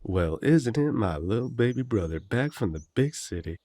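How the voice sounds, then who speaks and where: A man's voice: mid-range voice, animated, normal speed, mellow, slight background noise, close to an everyday voice. A man playing a cheery, blunt country werewolf big brother in an immersive audio drama, with a folksy, teasing, hearty way of talking.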